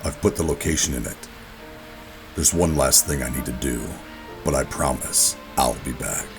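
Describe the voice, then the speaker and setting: A man playing a weary rogue operative, gruff but tender, narrating a sci-fi audio drama in a brooding, deliberate way. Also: very deep voice. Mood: soft, intimate. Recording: music bed under the voice. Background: dramatic music.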